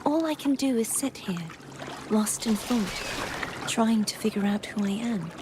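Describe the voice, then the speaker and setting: An actress playing a gentle, earnest young woman in a video game, speaking in a formal, measured, soft way. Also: on the high side, animated, noisy background. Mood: wistful, uncertain. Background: game music.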